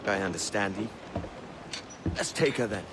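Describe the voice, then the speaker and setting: An actor playing a cocky, sardonic pirate captain in a video game, teasing and irreverent. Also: male voice, fairly low pitch, lively intonation, moderate pace, background noise, lightly acted. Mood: easy, thoughtful. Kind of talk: video game cutscene acting. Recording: music behind the voice.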